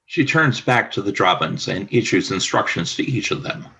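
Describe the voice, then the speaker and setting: A man's voice: on the low side, expressive, normal speed, gravelly, bright, forceful tone, low-quality recording. A man reading scripted stage directions as the narrator of a radio play.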